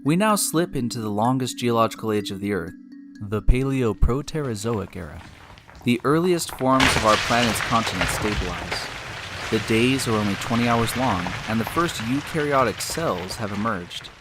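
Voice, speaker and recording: A man hosting and narrating a scripted science story in a deliberate, explanatory way, speaking directly to the listener. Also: mid-range voice, animated. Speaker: podcast host and narrator. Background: music.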